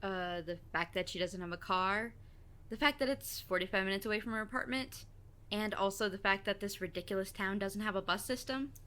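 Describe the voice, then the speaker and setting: A woman's voice: mid-range voice, a little fast, sharp tone, lightly acted. A woman playing a sardonic, reluctant teenage best friend in an audio drama, dry and exasperated.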